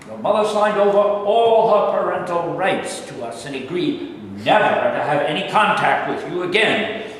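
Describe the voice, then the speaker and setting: A man's voice: sits high, animated, normal speed, fairly bright tone. A man playing a stern, protective adoptive father in a staged play reading. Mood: grave, explaining. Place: live staged reading in a hall. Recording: echoey hall, distant mic, faint noise.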